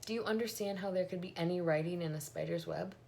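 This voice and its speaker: Woman doing fretful farm mom from a kids book, puzzled, creaky lowish voice. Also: fast, darkish tone, a little hiss or hum. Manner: fretful, questioning. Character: worried, practical farm mother, puzzled and anxious.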